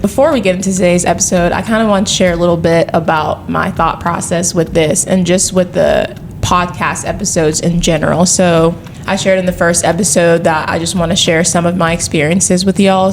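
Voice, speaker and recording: A young woman from Atlanta hosts a casual podcast. She talks in a friendly, rambling way with a slightly low voice. A faint hiss sits under her voice. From Atlanta, Georgia, USA. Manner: friendly, chatty, talks in loose run-on thoughts. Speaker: podcast host.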